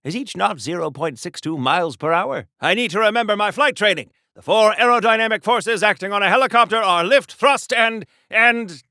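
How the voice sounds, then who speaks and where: 1940s American patriot hero, naive and pompous, bright forceful voice, dry close recording, radio serial theatrics, video game. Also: male voice, high-pitched, moderate pace, few pauses, no room sound. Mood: earnest, flustered. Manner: pompous, wholesome old-timey exclamations and theatrical diction. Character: square-jawed, naive, blustering 1940s patriotic comic-book hero. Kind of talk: golden-age radio serial style game acting.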